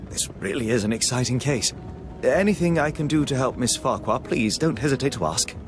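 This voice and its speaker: A man plays a young gentleman from a mystery game, keen and courteous. His medium-pitched voice is chatty and eager to please. Music sits clearly behind it. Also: expressive, brisk, lightly acted. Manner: chatty, polite, enthusiastic. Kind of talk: scripted game dialogue.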